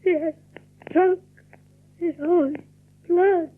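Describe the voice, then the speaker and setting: Anxious older spinster, high breathy voice, tremulous pleading, theatrical radio drama, tinny muffled recording. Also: female voice, steady tone, drawn-out words, full character voice. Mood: panicked, horrified. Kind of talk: theatrical audio drama acting.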